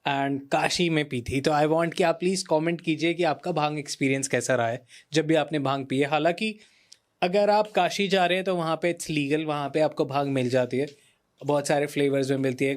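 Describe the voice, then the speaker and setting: A man's voice: a little high, steady tone, fairly quick. A young man hosts in a casual, chatty style. He slips English phrases into his speech and repeats phrases for emphasis, talking straight to his audience. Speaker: podcast host. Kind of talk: casual chat.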